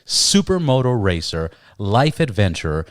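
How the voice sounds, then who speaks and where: A man hosting a motorcycle podcast, chatting casually and excitably with his guest, swearing now and then. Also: on the low side, moderate pace, no echo, studio quality. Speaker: podcast host. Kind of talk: casual interview chat.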